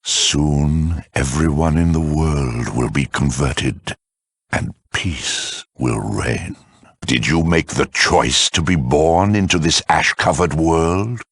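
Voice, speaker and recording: A man playing a smug, erudite super mutant lieutenant in a video game, talking with slow, menacing condescension in a deep rasp. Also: a bit bright, dry recording, exaggerated voice. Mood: proud, zealous. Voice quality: very deep, gravelly, rasping. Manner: condescending, eloquent, slow and deliberate. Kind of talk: theatrical video game villain acting.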